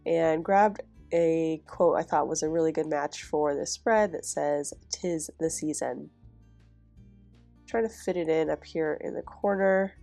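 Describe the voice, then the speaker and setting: A young woman with a stuffy, nasal voice from a cold, chatting casually in a voice-over as she decorates a planner. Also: low voice. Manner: chatty, relaxed, with soft laughs. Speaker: planner vlogger.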